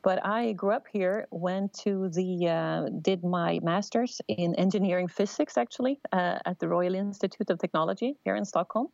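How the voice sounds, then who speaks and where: A middle-aged Swedish-accented woman speaks thoughtfully, stopping and restarting as she picks her words. Her voice sits low with a gravelly edge. The recording is dry and a little dull. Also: moderate pace, few pauses, darkish tone, dry recording. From Sweden. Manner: thoughtful, many fillers and restarts. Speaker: telecom executive guest. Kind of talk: conversational interview.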